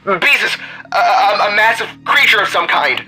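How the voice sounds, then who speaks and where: A man's voice: high-pitched, animated, a little slow, pushed and bright, voice pushed up, full character voice, poor audio. A man voicing an urgent, stammering owl-person news reporter in an audio drama, with music behind. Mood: panicked.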